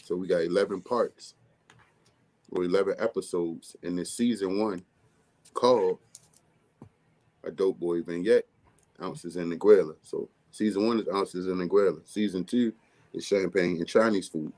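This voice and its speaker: A man from with a deep, bass-heavy, mumbly voice, talking casually as a music artist guest in an interview. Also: normal speed, long pauses, darkish tone. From Baltimore, USA. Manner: mumbly, laid-back streetwise delivery.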